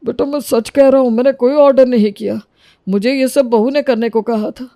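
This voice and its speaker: A woman's voice: on the high side, steady tone, moderate pace, dry recording, lightly acted. Woman playing a meek elderly father-in-law, dry recording. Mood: pleading. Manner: gentle, placating. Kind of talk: dramatised moral story.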